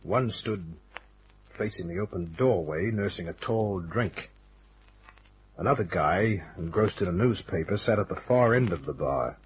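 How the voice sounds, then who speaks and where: An actor playing a wry, cocky private detective, narrating and talking in a dry, laconic old-time radio style. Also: male voice, low voice, lively intonation, a little slow, a little hiss or hum, low-quality recording. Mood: wry narration.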